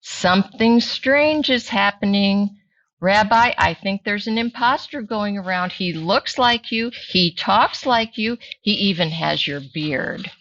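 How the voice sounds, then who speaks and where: A woman's voice: mid-range voice, slow, fairly bright tone, no room sound, dull audio. A woman voicing a kindly, chatty old grandmother in a children's picture book, fond and fussing, with singsong exclamations. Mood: puzzled.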